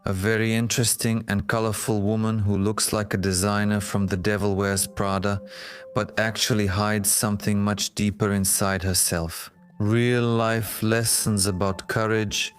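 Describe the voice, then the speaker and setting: Low, flat-voiced male podcast host. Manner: measured, solemn, even delivery. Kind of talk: scripted introduction and interview questions.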